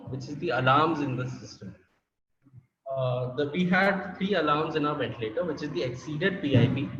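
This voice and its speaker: Indian man presenting a technical workshop; slightly high voice, roomy and dull call audio. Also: slight background noise. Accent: Indian English. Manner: explanatory, with frequent fillers and restarts.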